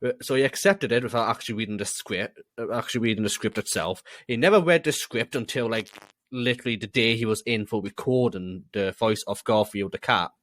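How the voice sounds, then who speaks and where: Young male, low voice, halting and giggly storyteller, dry recording. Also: a bit bright, no echo. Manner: hesitant, many ums, giggly. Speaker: podcast co-host. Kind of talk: casual podcast storytelling.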